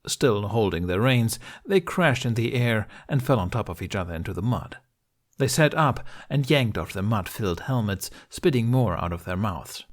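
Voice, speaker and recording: A man's voice: lowish voice, animated, normal speed. A man reading a scripted story aloud as its narrator. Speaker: amateur story reader.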